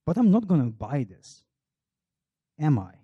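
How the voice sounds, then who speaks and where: A man's voice: on the high side, big pitch swings, measured pace, breathy edge, no room sound, narrow, tinny audio. A middle-aged Belgian man giving a public talk, telling a wry, self-deprecating personal story. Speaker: marketing researcher on a panel.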